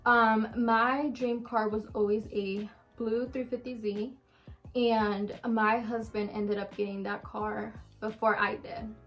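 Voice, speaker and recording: A woman's voice: on the high side, little pitch movement, slow, soft background music, poor audio. Chatty young woman answering questions. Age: around twenty. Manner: chatty, frequent ums and restarts, open and candid. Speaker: vlogger answering viewer questions. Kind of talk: casual Q&A chat to camera.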